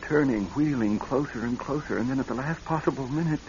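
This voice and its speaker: An actor playing a haunted, edgy husband in a radio drama, troubled and strained, swinging from subdued to snappish. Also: male voice, mid-range voice, brisk, a little mellow, close to an everyday voice. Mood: weary, haunted. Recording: muffled, hissy old radio recording.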